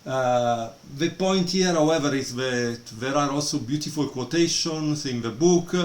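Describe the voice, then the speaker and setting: A man's voice: medium pitch, unhurried. Hesitant man, echoey room. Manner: hesitant, many fillers. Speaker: book reviewer. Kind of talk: casual video review. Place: room at home, storm outside. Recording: echoey room with faint noise.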